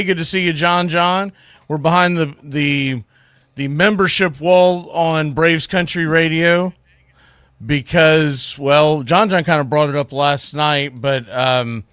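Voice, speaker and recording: A man's voice: fairly high pitch, a bit bright, clean tone, dry recording, studio quality. A man hosting a casual solo sports livestream, rambling in an informal, folksy way. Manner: folksy, rambling, informal with Southern-style turns like y'all. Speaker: sports show host.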